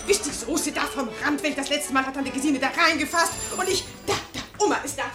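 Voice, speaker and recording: A woman's voice: high-pitched, fairly quick, echoey room, lightly acted. A woman acting a harried, scolding housewife and mother in a 1950s German radio family comedy. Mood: fretful, scolding. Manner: scolding, fussing. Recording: old 1950s radio recording, music behind.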